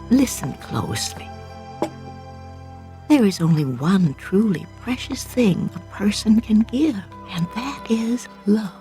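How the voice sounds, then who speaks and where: A kindly old woman in a vintage drama. Her voice is low and lilts expressively, with slow, careful, gentle phrasing. Music sits underneath. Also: full character voice. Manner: gentle, deliberate, formal phrasing. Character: kindly, wise old woman, a children's gentle aunt figure. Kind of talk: classic television drama acting.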